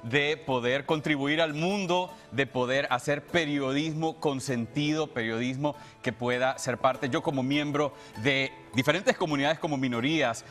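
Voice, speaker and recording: Male TV presenter, 30s, from El Salvador, earnest and thankful farewell speech, mid-pitched, light music underneath. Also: normal speed, hardly any pauses, fairly bright tone. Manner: earnest, grateful. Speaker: TV presenter saying farewell to the show. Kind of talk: heartfelt unscripted farewell talk. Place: television studio. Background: music bed.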